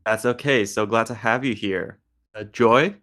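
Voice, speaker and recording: A man with a fairly low voice moderates a relaxed discussion. He talks informally and appreciatively, as a host addressing his guests. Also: measured pace.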